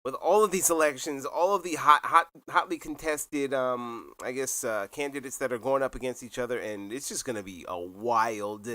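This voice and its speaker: Bright-voiced man chatting on a podcast. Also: mid-range voice, moderate pace, few pauses, no echo. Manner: relaxed, laughs often, rambling with fillers. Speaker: podcast co-host. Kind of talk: casual chat.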